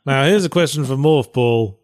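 Man, deep voice, dry humor, casual chat, dry sound. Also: moderate pace, a little mellow, dry recording. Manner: dry, self-deprecating humor. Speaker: podcast co-host.

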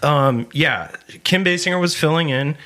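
A man in his forties hosting a casual movie podcast, stammering and animated, joking as he talks. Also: a little high, normal speed. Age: 40s. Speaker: podcast host.

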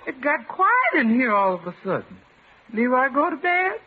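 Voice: high voice, wildly swooping pitch, moderate pace, husky, narrow, tinny audio, full character voice. A performer playing a good-natured, folksy American housekeeper woman in a classic radio comedy, deferential and exclamatory. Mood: gentle, concerned. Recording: thin, band-limited vintage radio recording.

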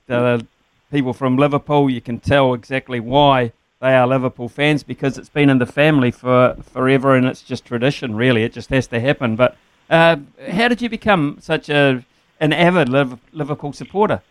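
Male radio host, smooth tone, dry close sound, rambling conversational questioner. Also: medium pitch, no room sound. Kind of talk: radio interview.

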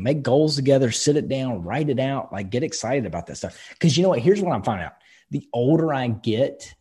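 A man in his thirties speaks with a husky, slightly breathy voice. He talks in a casual, emphatic way, swearing now and then as he gives advice. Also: medium pitch, dark tone. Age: 30s. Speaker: podcast co-host. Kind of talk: casual chat.

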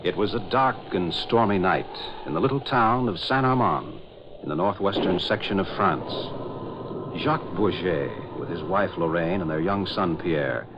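An American man announcing and narrating in dramatic 1940s radio style. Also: deep voice. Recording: muffled old radio recording with hiss.

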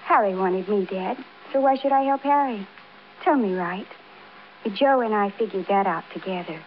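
A woman's voice: medium pitch, moderate pace, close to an everyday voice. Woman acting childlike housewife, defiant, wistful storyteller, 1950s radio crime drama, muffled recording, faint noise. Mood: wistful, detached. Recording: dull, noisy old radio broadcast.

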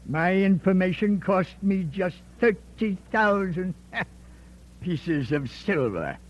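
A man's voice: high-pitched, animated, music in the background. An actor playing a smug, corrupt man of power in an old radio drama, insinuating and menacing, often ending on a questioning "eh?". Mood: sly gloating. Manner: slow, insinuating, punctuates with "eh?". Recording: muffled, noisy old radio recording with hum.

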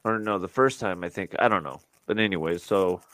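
A man with a lowish voice co-hosts a casual podcast. He rambles, restarting sentences and filling gaps with "um" and "uh". The sound is dry and close, with no room to it. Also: fairly quick, a bit bright, no room sound. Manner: rambling, lots of fillers and restarts. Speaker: podcast co-host. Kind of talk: casual chat.

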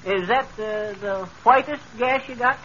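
An actor playing a boastful, folksy American tall-tale teller in 1930s radio comedy, with rustic grammar. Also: male voice, very high-pitched, a little slow, pushed and bright, exaggerated voice. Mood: folksy, casual. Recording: dull, faintly noisy old radio recording.

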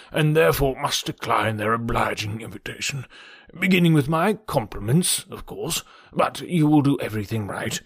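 A man voicing a gentle, fretful old gentleman, an anxious father in a classic novel, with a fussy, mournful, deliberate manner. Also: mid-range voice, breathy edge, no room sound, clean studio sound. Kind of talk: audiobook character voice from a classic novel.

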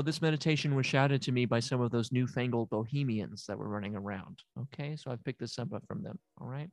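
A man's voice: mid-range voice, no room sound. A man playing an eccentric, domineering, unqualified therapist in improvised comedy, coaxing and rambling with mock authority. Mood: commanding. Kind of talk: improvised comedic character acting.

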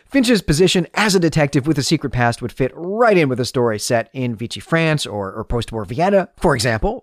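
A man's voice: fairly high pitch, dry recording, studio quality. A man hosting a podcast, delivering an analytical, explanatory monologue in the style of literary commentary. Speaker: podcast host.

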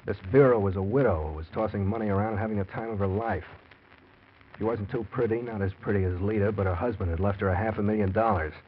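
An actor playing a forty-year-old gambler and pulp writer in a 1940s radio drama, wry and hard-boiled. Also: male voice, on the low side, fast, natural voice. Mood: wry confessional. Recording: noisy muffled old radio recording.

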